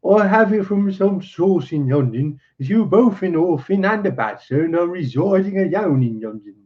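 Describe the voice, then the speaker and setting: A man's voice: high voice, expressive, moderate pace, voice pushed up. A man voicing a secretive, insistent German colonel in his late thirties from a storybook, speaking formally and guardedly. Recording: dull, muffled lo-fi recording.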